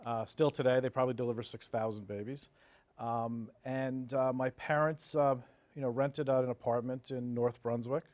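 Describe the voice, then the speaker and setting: A man's voice: mid-range voice, steady tone, normal speed, darkish tone, dry recording. Matter-of-fact man in his fifties, dry sound. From New Jersey, United States. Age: 50s. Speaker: interview guest, development executive. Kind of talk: conversational interview storytelling.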